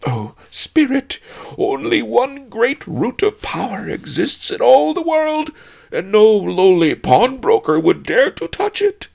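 Loud, high-pitched cowardly crook. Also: male voice, wildly swooping pitch, slow pace, vocal fry, exaggerated voice. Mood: terrified, wailing. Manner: snarling, blustering, wailing when frightened. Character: cowardly, grumbling crooked male pawnbroker.

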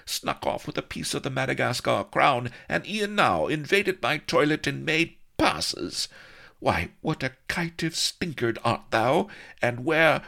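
A man's voice: fairly low pitch, a bit bright, pitched above the performer's own voice, heavily acted. A man voicing a haughty, melodramatic young noblewoman who scolds indignantly in mock-archaic, theatrical speech for a comic storybook.

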